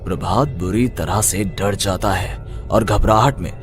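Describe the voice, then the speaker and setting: A man's voice: low-pitched, a little slow, over music. A man narrating a scripted horror cartoon story.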